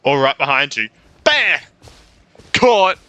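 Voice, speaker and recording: Bright, excitable young male gaming streamer. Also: fairly high pitch, slow. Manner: excitable, rambling asides. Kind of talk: casual let's-play commentary.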